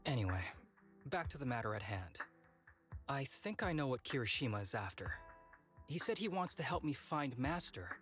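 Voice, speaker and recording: A man's voice: medium pitch, very animated, moderate pace, a bit bright. A man voicing an easygoing young fighter with a hidden painful past in a video game, breezily downplaying serious things. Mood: resolute. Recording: background music under the voice.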